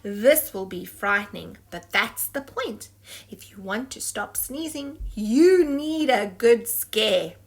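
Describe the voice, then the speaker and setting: A woman's voice: fairly high pitch, expressive, slow pace. A woman voicing a tiny, helpful, upbeat fish friend in a storybook, encouraging and reassuring. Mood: encouraging, confident.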